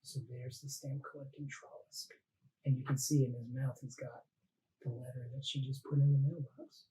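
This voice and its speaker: A man, a teacher, reading a children's picture book aloud in an informal, expressive way with casual asides. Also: mid-range voice, normal speed, slight background noise, rough recording.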